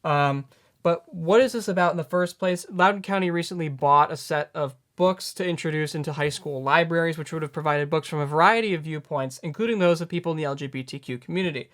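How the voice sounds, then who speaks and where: Man, late teens, news commentary to camera, slightly high voice, earnest, self-correcting. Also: fast talker, a bit bright. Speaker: news host.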